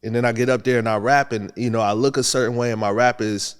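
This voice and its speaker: Young man, from buffalo, relaxed storyteller, laughs easily, casual interview. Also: mid-range voice, fast talker, smooth. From Buffalo, New York. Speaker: rapper guest.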